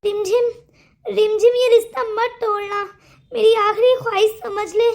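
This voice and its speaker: A woman voicing a dying, tearful mother in a melodramatic cartoon story, speaking haltingly and devotedly. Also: very high voice, little pitch movement. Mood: resigned, pleading.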